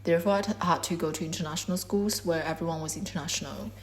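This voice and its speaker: A woman in her twenties whose first language is Korean gives a measured, reflective talk about her art. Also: fairly low pitch, steady tone. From China. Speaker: artist. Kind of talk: prepared artist talk.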